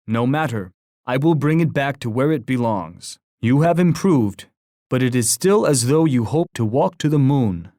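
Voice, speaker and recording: An actor playing a proud, stern war leader in a video game, speaking in a solemn, formal, boastful way. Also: male voice, mid-range voice, a little mellow, no room sound, studio quality, natural voice. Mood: stern, taunting. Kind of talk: video game character taunt lines.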